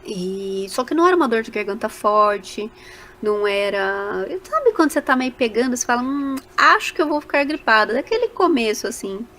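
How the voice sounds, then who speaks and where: A woman's voice: fairly high pitch, big pitch swings, normal speed, pushed and bright, slight background noise. A Brazilian woman speaking casually as a voice actor guest in a podcast interview, animatedly telling stories and acting out little quoted remarks.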